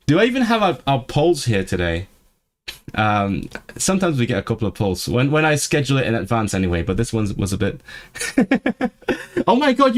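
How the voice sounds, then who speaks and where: A man who is not a native English speaker, hosting a casual livestream and chatting with his viewers as he reads and answers the live chat. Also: mid-range voice. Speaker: livestream host.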